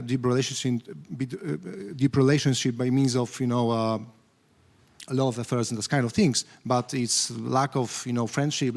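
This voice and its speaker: A man with a Polish accent giving panel remarks in English, halting, with many "uh"s, restarts and non-native phrasing. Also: mid-range voice, darkish tone. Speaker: panel speaker.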